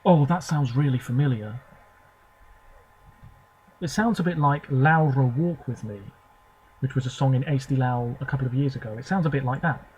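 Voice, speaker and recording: A young man talking to camera from home about songs he has just heard, his voice sitting at an ordinary middle pitch. He gives short, hesitant verdicts between clips, with a faint noise under the recording. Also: moderate pace, pauses often, darkish tone, poor audio. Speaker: video host reviewing contest songs. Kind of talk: casual off-the-cuff commentary.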